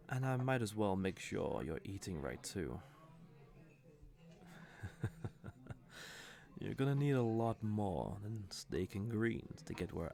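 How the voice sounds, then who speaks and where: A man's voice: lowish voice, gravelly, heavily acted. A man playing a cocky, suave fantasy villain who teases and flirts smugly in an audio roleplay. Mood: smug, teasing. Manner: smug, playful, unhurried teasing with soft chuckles. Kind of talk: scripted audio roleplay addressed to the listener.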